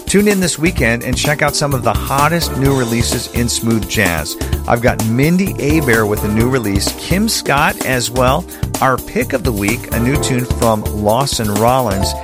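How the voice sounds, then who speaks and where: A man reading a scripted, upbeat radio promo for his jazz show. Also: mid-range voice. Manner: upbeat, smooth promo read.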